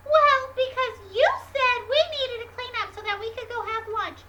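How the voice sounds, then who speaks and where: A woman's voice: very high voice, normal speed, bright, forceful tone, echoey room, distant mic, a little hiss or hum. A woman voicing an earnest little boy who follows the rules and gets frustrated easily, in a whiny, indignant way. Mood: explaining.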